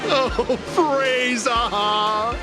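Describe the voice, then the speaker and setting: A man's voice: very high voice, drawn-out words, exaggerated voice. A man voicing a cheerful father who sounds unsettlingly happy in a video game. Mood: gleeful. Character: cheerful father, eerily blissful. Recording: game audio with music.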